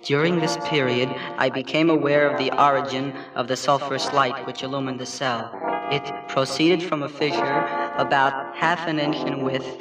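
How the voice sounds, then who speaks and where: A man playing a tormented condemned prisoner in mortal terror, reading a gothic horror story in a theatrical, halting, anguished way. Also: medium pitch, steady tone, lightly acted. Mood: hushed dread. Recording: echoey, distant, loud music behind.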